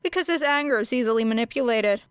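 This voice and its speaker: A woman's voice: a little high, animated, quick pace, clean tone, no echo, studio quality, close to an everyday voice. Woman playing a brash, cursing teen girl fighter. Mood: taunting. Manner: sharp, curt and taunting. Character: brash, foul-mouthed teenage girl fighter.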